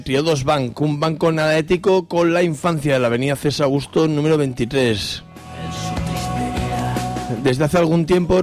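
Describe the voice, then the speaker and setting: A fairly high-voiced male radio host with an accent from Spain reads announcements informally. Soft music runs behind him. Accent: Spanish from Spain. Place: radio studio. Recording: faint music underneath.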